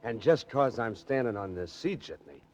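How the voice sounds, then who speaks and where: A man's voice: mid-range voice, lively intonation, normal speed, darkish tone, close to an everyday voice. An actor playing a tough, slangy, mocking American henchman with a streetwise manner in 1940s radio drama style. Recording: noisy, muffled 1940s radio recording.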